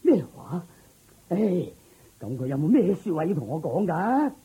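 A man's voice: fairly high pitch, very animated, normal speed, breathy edge, narrow, tinny audio, full character voice. A man playing an anxious king and worried father in a radio drama, sighing and demanding as he speaks. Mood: alarmed, demanding.

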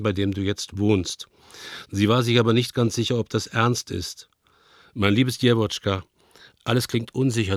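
An actor playing a worried, affectionate older father figure who reads his letter as a tender, measured, melancholy radio-play monologue. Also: fairly low pitch, dry recording, clean studio sound, natural voice.

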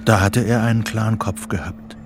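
An actor as the narrator of a psychological thriller, reading scripted radio drama narration. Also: male voice, lowish voice, little pitch movement, normal speed, faint music behind, natural voice. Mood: sober.

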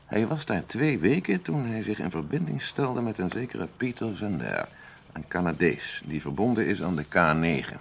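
A man playing a suave, imperious middle-aged army major in a radio drama, courteous, measured and condescending. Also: lowish voice, very animated, creaky, a little hiss or hum. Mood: calm, explanatory.

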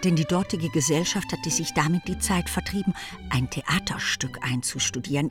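A woman delivering scripted literary narration as the narrator of a German radio drama. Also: mid-range voice.

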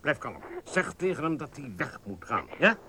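An actor playing a pompous, fussy, domineering supermarket manager in a Dutch radio play, with a patronising, theatrical delivery. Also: male voice, on the high side, sing-song, moderate pace, noisy background. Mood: nervously placating.

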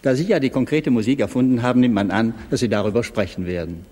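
A man's voice: mid-range voice, fast, warm tone. A man addressing a guest in public, as an interviewer at an event. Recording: reverberant hall with faint crowd. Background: faint audience.

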